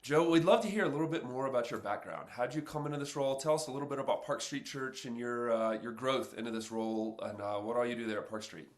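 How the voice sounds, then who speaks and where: A man's voice: mid-range voice, fast, few pauses. A man hosting an interview podcast, asking questions in an upbeat, conversational way. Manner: upbeat, conversational, some fillers. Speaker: podcast host.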